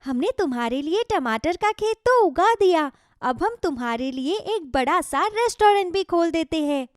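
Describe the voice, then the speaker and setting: High-voiced kindly magic tomato plant, played by a woman. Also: lively intonation, moderate pace, dry recording, studio quality. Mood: helpful. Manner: benevolent, solemnly advising, speaks as "we". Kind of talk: children's moral story cartoon acting.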